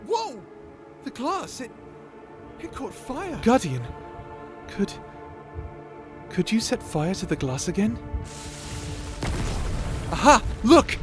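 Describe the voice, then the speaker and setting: Young male wizard, played by a man, audio drama: high, very animated, nerdy, self-doubting stammerer, music underneath. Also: slow, long pauses, exaggerated voice. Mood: excited, explaining. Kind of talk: scripted audio roleplay acting.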